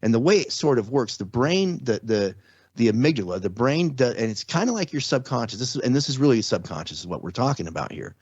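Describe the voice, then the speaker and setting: A man's voice: medium pitch, very animated, quick pace, darkish tone, slightly rough, no echo, muffled recording. A man speaking as a podcast guest, explaining things step by step in a casual, conversational way with everyday examples. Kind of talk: casual explanatory interview talk.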